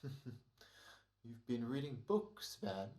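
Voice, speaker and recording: A man's voice: mid-range voice, lively intonation, very slow, mellow. Man as wry, swooping radio actor in echoey room. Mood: mocking defiance. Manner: sardonic, theatrical. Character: Ed, wry wisecracking male radio actor in rehearsal. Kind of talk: old-time radio comedy-horror acting. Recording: roomy echoing home recording.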